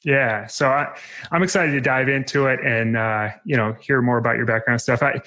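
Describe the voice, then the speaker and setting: A man's voice: mid-range voice, normal speed, pushed and bright. A man in his thirties hosting a podcast, asking friendly, loosely phrased questions with plenty of fillers. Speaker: podcast host. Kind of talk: scripted intro, then casual interview chat.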